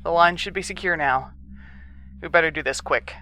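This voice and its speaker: A woman's voice: deep voice, normal speed, pushed and bright, soft background music. A woman playing an anxious, well-meaning younger brother in an audio drama. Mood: secretive, worried.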